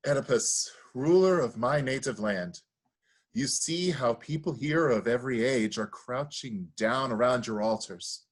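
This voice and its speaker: A male actor as a reverent Greek priest in a staged tragedy. His mid-pitched voice pleads gravely with a ruler. The delivery is earnest and oratorical. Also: a little slow, natural voice. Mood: grave, pleading.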